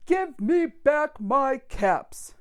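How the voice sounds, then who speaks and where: A storybook street peddler, voiced by a woman, pitched well up and projecting hard. He is an emphatic male crier with big swoops in his delivery. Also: lively intonation, extremely slow, heavily acted. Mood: angry, scolding. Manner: loud singsong calling and emphatic scolding. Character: male cap peddler, street crier turned angry scolder. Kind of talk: picture-book read-aloud.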